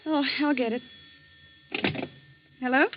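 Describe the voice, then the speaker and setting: A woman's voice: high-pitched, steady tone, slow pace, a little hiss or hum. An actress playing a playful, skeptical young engaged woman in a 1940s radio drama, with a breezy, teasing manner. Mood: puzzled. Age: young adult. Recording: muffled 1940s radio broadcast.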